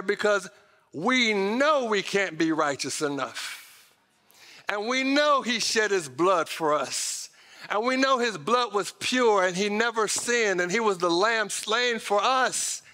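A man's voice: high-pitched, bright, forceful tone. A middle-aged American man preaching a sermon in an emphatic, conversational way, speaking directly to his congregation in a reverberant church auditorium. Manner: emphatic, conversational, addresses listeners directly with folksy asides. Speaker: pastor. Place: large church auditorium stage, indoors. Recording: reverberant hall sound from stage.

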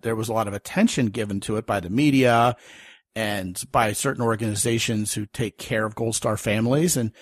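A man in his thirties hosts a podcast in easy, storytelling chat. He jokes along the way. His voice is slightly husky and the sound is close and dry. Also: mid-range voice, dry recording. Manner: chatty, storytelling, joking. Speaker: podcast host. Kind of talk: casual interview chat.

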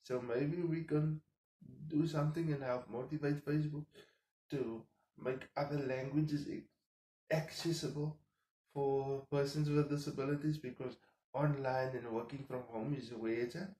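A man in his thirties whose first language is Afrikaans, talking quietly to camera in his home kitchen and thinking out loud with lots of fillers. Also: mid-range voice, fairly even intonation, moderate pace, roomy sound, a little hiss or hum, low-quality recording. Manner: subdued, keeps voice down so as not to wake the household. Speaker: vlogger. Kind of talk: casual monologue to camera.

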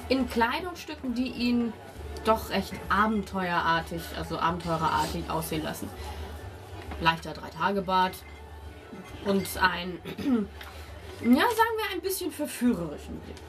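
A woman's voice: mid-range voice, animated, moderate pace, recorded from a distance. A woman narrating a tabletop role-playing game as game master, describing scenes piece by piece as she goes. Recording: music playing behind the voice.

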